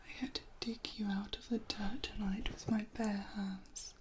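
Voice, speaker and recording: A woman playing an ancient, protective female vampire in an ASMR roleplay, in a soft, breathy whisper with formal, old-fashioned phrasing. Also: medium pitch, little pitch movement, normal speed, noisy background, natural voice. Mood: reproving, disdainful. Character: ancient, protective, softly disdainful female vampire tending a loved one.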